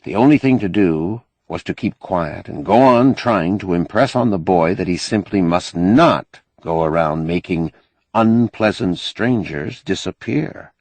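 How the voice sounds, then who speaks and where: Male narrator for fiction audiobook: animated intonation, unhurried pace, dry close sound. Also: deep voice, no room sound. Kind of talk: expressive scripted fiction narration.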